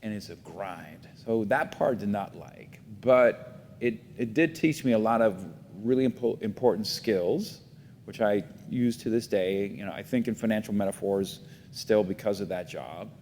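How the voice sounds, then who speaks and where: Low-voiced man, reflective, roomy sound. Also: moderate pace, a little mellow. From Miami, United States. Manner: reflective, many fillers and restarts. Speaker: guest, foundation CEO. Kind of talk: casual interview talk. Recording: roomy reverb, faint rumble.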